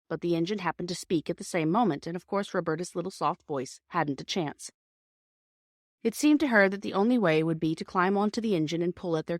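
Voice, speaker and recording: A woman's voice: lowish voice, no echo, clean studio sound. A woman narrating a children's story from a script as an audiobook reader.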